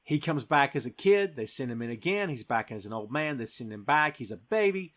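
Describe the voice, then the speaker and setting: A man's voice: mid-range voice, lively intonation, a little fast, a bit bright. A man hosting a podcast, eagerly recapping a film scene by scene in casual chat. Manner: enthusiastic, recapping scenes with a laugh. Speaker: podcast host.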